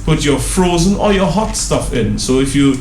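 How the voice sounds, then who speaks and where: A man, a supermarket guest on a radio talk show, chatting in a relaxed, loose way. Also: a little high, moderate pace, slight background noise. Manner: relaxed, chatty, says "man" a lot, light laughs. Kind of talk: casual radio chat.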